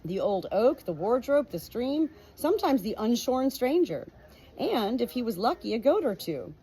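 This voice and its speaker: A woman reading an audiobook as an expressive storybook narrator. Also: on the high side, normal speed, breathy edge, poor audio. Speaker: audiobook reader.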